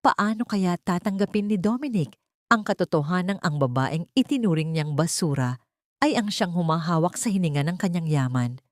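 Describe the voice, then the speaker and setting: A woman's voice: on the low side, lively intonation, moderate pace, no room sound, clean studio sound. A woman narrating a scripted audio drama story in a solemn, melodramatic manner.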